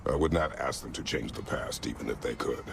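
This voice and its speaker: Battle-worn god-slayer, extremely low rumble, gravelly, terse, stoic, game effects behind. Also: male voice, brisk. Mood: grim, resolute. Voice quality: deep, gravelly. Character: stern, battle-worn Spartan god-slayer, a grown man. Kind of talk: video game voice acting.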